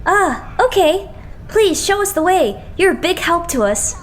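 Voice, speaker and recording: Woman as an excitable, high-pitched boy idol. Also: unhurried, a little hiss or hum. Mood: polite, flustered. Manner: excitable, earnest, chatty. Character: eager, starstruck, chatty teenage boy idol. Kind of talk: anime-style fan dub acting.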